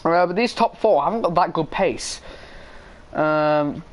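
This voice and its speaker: A young man commentating an esports race in a casual style, hoarse and losing his voice, clearing his throat often. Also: on the high side, unhurried. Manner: casual, frequent throat clearing and filler ums.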